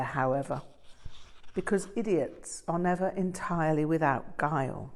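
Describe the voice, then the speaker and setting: Female audiobook narrator, lowish voice, very unhurried, deliberate storytelling. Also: creaky, a little mellow.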